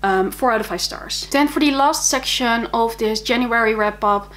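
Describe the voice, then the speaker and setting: A woman's voice: a little high, normal speed, fairly bright tone. A young woman from the Netherlands with a Dutch accent, chatting casually and enthusiastically about books she read, with frequent fillers. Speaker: book vlogger. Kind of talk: casual monthly reading wrap-up.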